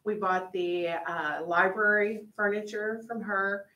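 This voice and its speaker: A woman's voice: mid-range voice, fairly even intonation, takes it slowly, roomy sound, muffled recording. A woman presenting informally at a school board meeting, telling a story with fillers and light laughs. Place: meeting room, large indoor.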